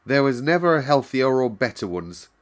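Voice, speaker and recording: A man reading aloud and voicing a practical middle-aged housekeeper, talking in a brisk, emphatic way. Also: medium pitch, sing-song, voice pushed up, close to an everyday voice. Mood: pleased, emphatic.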